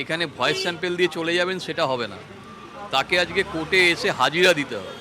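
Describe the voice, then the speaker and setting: A man giving a statement to press outdoors, with crowd noise around. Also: on the high side. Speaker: complainant interviewed by press. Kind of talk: spoken statement in a street interview. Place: outdoors in court premises.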